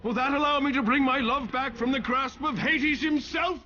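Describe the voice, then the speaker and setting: An actor playing a proud, desperate Greek hero in a video game, grandiose and scornful. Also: male voice, very high-pitched, steady tone, moderate pace, bright, forceful tone, highs cut off, slight background noise. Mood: desperate pleading. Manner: grandiose, theatrical. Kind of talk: dramatic video game acting.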